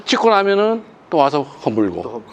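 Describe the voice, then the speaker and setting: A man on a discussion panel, telling an anecdote slowly and easily. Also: on the high side, big pitch swings, slight background noise.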